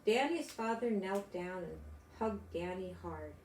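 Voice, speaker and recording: Older woman, unhurried children's read-aloud, gentle simple phrasing, echoey room. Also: medium pitch, lively intonation. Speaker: storytelling grandmother reading picture books to children. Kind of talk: children's read-aloud and chat to young listeners. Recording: echoey room with background noise.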